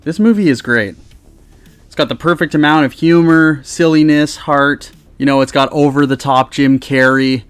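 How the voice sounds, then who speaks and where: A man's voice: a little high, moderate pace, smooth, dry recording, soft background music. Casual man reviewer, dry close-mic sound. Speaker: video host and reviewer. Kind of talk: casual countdown review commentary. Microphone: close USB microphone.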